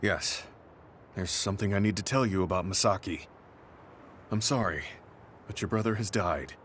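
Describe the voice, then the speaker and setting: An actor playing a stoic, earnest veteran soldier in a video game, speaking in a serious, reserved, measured way. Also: male voice, low voice, slight background noise. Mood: solemn. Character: stoic, earnest male veteran soldier, quietly grieving and resolute. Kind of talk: English video game voice acting. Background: soft background music.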